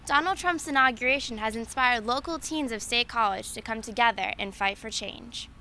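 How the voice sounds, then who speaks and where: A young American woman narrates a news report from a script. Her voice sits a little high, and there is clear noise on the recording. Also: normal speed, pushed and bright. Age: young adult.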